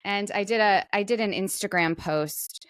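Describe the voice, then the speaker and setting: A woman's voice: mid-range voice, normal speed, clean tone, no echo. A woman co-hosting a podcast in casual, conversational chat, with plenty of "like" and trailing asides. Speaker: podcast co-host; actor.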